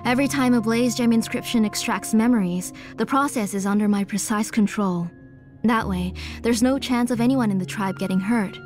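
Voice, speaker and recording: A woman playing an aging, devoted mother and engraver in a video game, measured and earnest, weary but resolute. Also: fairly high pitch, darkish tone, soft background music, close to an everyday voice. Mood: calm, assured.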